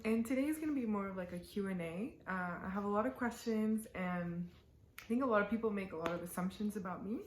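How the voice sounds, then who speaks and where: A young woman in her twenties talks to camera in a relaxed Q&A, chatty and giggly with lots of ums and likes. Her voice sits at a middling pitch. The room gives it a noticeable echo. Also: a little hiss or hum. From born in the UAE, raised in Canada. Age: 20s. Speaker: vlogger. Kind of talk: casual Q&A talking to camera. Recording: roomy echo.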